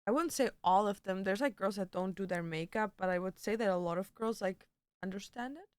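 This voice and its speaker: A woman's voice: mid-range voice, a little fast, smooth, dry recording. A woman livestreamer chatting casually and answering viewers, with a slangy, blunt and playfully sweary way of talking. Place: indoor home streaming setup.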